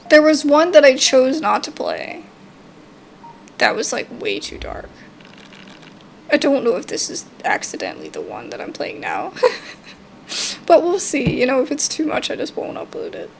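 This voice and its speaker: A young woman commentating on a game in a high, creaky voice. She is informal and expressive, switching between chat and dramatic reading, with noticeable background noise. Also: normal speed, long pauses. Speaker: gaming video host.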